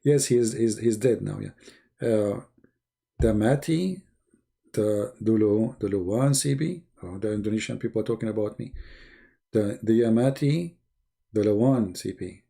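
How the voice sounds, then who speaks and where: A man hosting a livestream, rambling in a mocking, joking tone with a gravelly voice. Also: fairly low pitch, measured pace, warm tone. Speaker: livestream host. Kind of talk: casual livestream chat.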